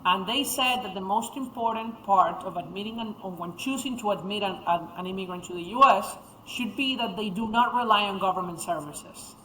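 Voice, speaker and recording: Young guest from Venezuela, native Spanish speaker, bright forceful tone, fast animated storytelling, echoey room. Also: mid-range voice, slight background noise. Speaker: guest, founder of a speakers bureau. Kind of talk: interview conversation.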